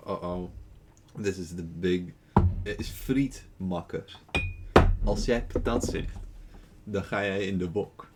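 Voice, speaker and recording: A man's voice: low voice, slow, pauses often, darkish tone, faint music behind. A young man from the Netherlands with a Dutch accent, chatting playfully and rambling while streaming a game, laughing often. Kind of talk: casual gaming stream chat.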